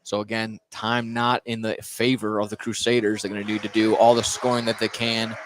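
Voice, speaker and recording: Man calling basketball over clear gym noise. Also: lowish voice, little pitch movement, brisk. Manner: casual, loose phrasing with repeated words. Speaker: play-by-play sports announcer. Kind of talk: live high school basketball radio commentary. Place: indoor high school gym during a game. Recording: live gym broadcast, clear background noise.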